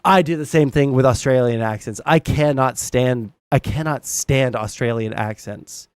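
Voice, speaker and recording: A man's voice: medium pitch, normal speed, clear, resonant tone, no echo. A young man from Southern Arkansas with a Southern accent, hosting a live stream and giving blunt, rambling commentary full of fillers and swearing. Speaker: streamer host. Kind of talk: casual live-stream commentary reading chat.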